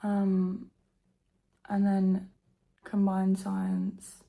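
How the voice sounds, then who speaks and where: A teenage girl vlogging at home, her voice croaky from just waking up, talking softly and half-whispering. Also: medium pitch, completely flat delivery, drawn-out words. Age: teens. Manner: soft, half-whispered, nervous chatter. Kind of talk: casual to-camera vlog. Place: at home indoors.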